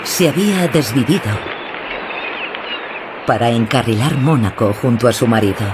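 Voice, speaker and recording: A man reading documentary narration in a measured, even way. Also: medium pitch. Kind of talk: scripted narration. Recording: music behind.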